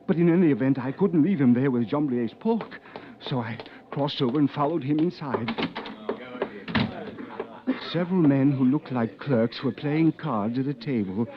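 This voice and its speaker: A man's voice: mid-range voice, normal speed, noisy background, lightly acted. An actor playing an anxious, exasperated smuggler who tells his own tale in tense, literate 1940s radio drama style. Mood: suspenseful. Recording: dull old radio recording with music.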